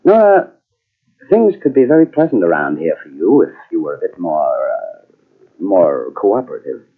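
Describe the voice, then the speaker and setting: An actor in an old-time radio mystery playing a scheming would-be playwright husband, smooth and insinuating, with menace underneath. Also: male voice, deep voice, sing-song, full character voice. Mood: oily, coaxing. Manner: smooth, insinuating, quick to turn threatening. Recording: tinny, band-limited old radio cassette transfer.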